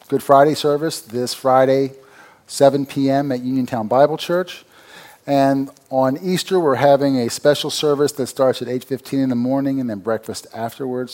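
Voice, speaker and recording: A pastor giving church announcements and then a conversational sermon, asking the congregation rhetorical questions. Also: male voice, mid-range voice, a little mellow.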